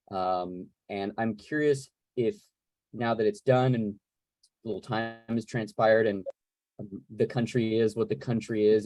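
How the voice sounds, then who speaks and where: Mellow, low-voiced male moderator. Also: no room sound, poor audio. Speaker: panel moderator. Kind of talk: casual interview moderating. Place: remote video call.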